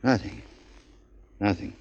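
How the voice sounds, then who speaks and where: A man's voice: slow, natural voice. An actor playing a nervous, shifty pool hall owner in his forties who stammers through anxious questions, in 1940s radio acting. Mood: wheedling. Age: 40s. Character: big fortyish pool hall owner, nervous, then menacing. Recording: dull lo-fi 1940s radio recording.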